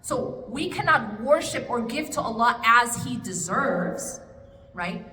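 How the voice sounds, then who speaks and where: A woman's voice: fairly high pitch, animated, slow pace, bright, forceful tone. A woman answering an audience question at a religious study circle, talking casually and coaxing her listeners with rhetorical questions. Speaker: speaker at an Islamic study circle. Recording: echoey hall with faint noise.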